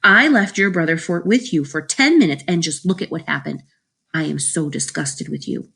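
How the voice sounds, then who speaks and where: A woman's voice: low voice, moderate pace, fairly bright tone. An emphatic, fretful mother from a children's audiobook, performed by a woman. She has a motherly way of talking, swinging between doting and stern. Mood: angry, stern.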